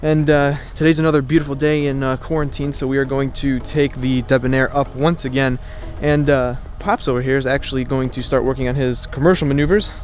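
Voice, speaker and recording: Fast-talking young man vlogging. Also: mid-range voice, steady tone, a little mellow. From Ohio, USA. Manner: chatty, upbeat, many "uh" fillers. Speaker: aviation vlogger. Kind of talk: casual vlog talk. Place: outdoors at an airfield, then in a small plane cockpit. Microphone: headset mic in the cockpit.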